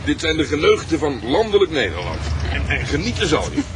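An actor playing an amiable husband, by turns hesitant and playfully pompous, in a radio drama. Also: male voice, fairly high pitch, wildly swooping pitch, brisk. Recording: noisy car ambience.

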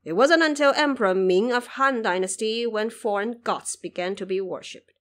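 A woman's voice: on the high side, moderate pace, lightly acted. Stern court historian read by a woman, declamatory and formal, for audio drama. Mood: stern argument.

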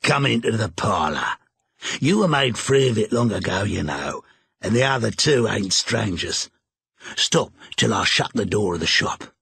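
A man's voice: expressive, a little slow, creaky, fairly bright tone, slightly rough, full character voice. Man voicing a sly old pawnbroker. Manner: low, drawling, wheedling.

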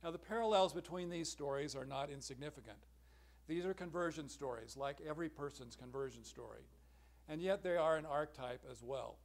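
Middle-aged man, conference lecturer at a podium mic, measured and earnest, fairly high voice. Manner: measured, earnest, clears throat now and then. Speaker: symposium speaker. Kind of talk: academic conference talk. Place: large lecture hall at a podium. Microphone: podium microphone, far camera.

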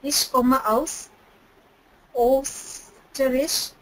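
Careful high-voiced woman student reading. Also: fairly even intonation, extremely slow, rough recording. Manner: careful, apologetic. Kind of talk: reading a text aloud in class.

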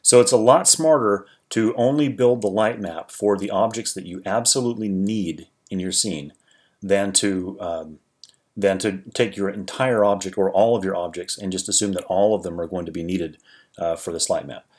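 A man narrating a screen-recorded 3D software tutorial, talking through each step in a relaxed, explanatory way with frequent small restarts. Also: sits low, normal speed. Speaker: 3D tutorial creator.

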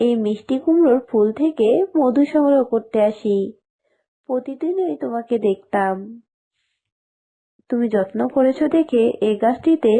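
A woman voicing a kind, gentle flower fairy in a cartoon. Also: a little high. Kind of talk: cartoon voice acting.

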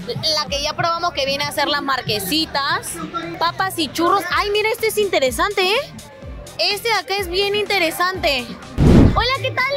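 A woman's voice: very high voice, moderate pace, over music. A young Peruvian woman vlogging street food at a night market, bubbly and chatty with vendors, talking into a handheld mic. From Peru. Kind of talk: casual on-street food vlog.

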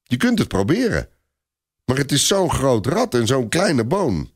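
A man's voice: medium pitch, wildly swooping pitch, measured pace, husky, pitched above the performer's own voice, exaggerated voice. A man voicing a stubborn, eager young girl who explains things in a cocksure, instructive way in a storybook reading.

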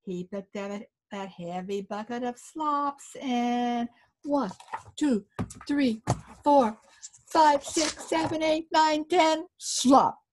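An older woman storyteller reading a folktale aloud to children, animated and inviting listeners to join in. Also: high voice, takes it slowly, rough recording. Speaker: author and storyteller. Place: small book-lined room indoors.

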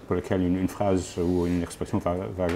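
An older man whose first language is English, a translator guest speaking French on a book panel, halting as he searches for words. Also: low-pitched, flat delivery, warm tone, slight background noise. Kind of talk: panel discussion. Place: bookstore, indoors.

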